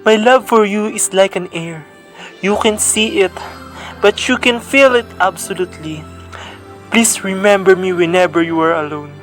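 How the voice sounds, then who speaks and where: A man's voice: sits high, moderate pace, a bit bright, faint music behind. A man playing an earnest, lovestruck young suitor who speaks poetically in an amateur radio drama. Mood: devoted.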